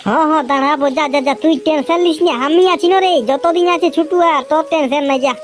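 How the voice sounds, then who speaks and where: An actor voicing a cocky, grumbling village big brother in a comic cartoon, boastful and exaggerating, with a Purulia Bengali accent and a pitched-up voice. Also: fairly even intonation, fast talker. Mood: cocky, reassuring.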